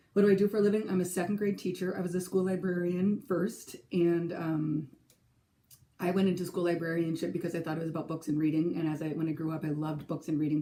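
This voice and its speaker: Woman, forties, Minnesotan accent, mellow lowish voice, rambling casual chat, faint hum behind. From California. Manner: rambling, self-correcting, giggly. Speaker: second grade teacher and stitching vlogger. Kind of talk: casual chatty vlog.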